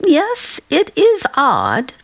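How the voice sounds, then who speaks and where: A woman's voice: high-pitched, huge pitch swings, extremely slow, vocal fry, heavily acted. Woman acting a lilting, fussy folksy landlady. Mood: solicitous. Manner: folksy, ungrammatical, motherly fussing. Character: fussy, solicitous working-class landlady, chatty and folksy. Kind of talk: radio theater acting.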